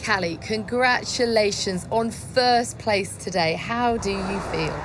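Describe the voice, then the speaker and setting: A woman playing a brisk, probing sports reporter in a game, conducting a post-race interview. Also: medium pitch, noisy background, natural voice. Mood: probing, polite.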